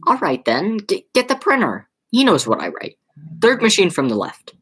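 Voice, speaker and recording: A teenage girl playing a flustered, exasperated man in a school radio play, stammering and halting as she speaks. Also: lowish voice, wildly swooping pitch, fairly bright tone, breathy edge, exaggerated voice. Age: teens. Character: flustered, exasperated male theater critic and nephew.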